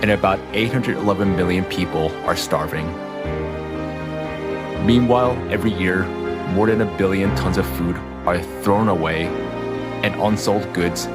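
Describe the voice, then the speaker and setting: A man reading scripted documentary narration in a formal, measured way. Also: sits low. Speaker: video narrator. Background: music.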